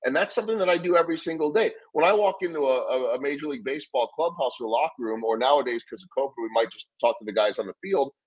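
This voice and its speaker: A middle-aged man with a husky, slightly breathy voice at an ordinary pitch. He talks earnestly as an interview guest, telling anecdotes and laying out ideas. He often restarts, repeating words. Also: lively intonation, racing, hardly any pauses, poor audio. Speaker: guest speaker on leadership. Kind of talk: conversational interview storytelling.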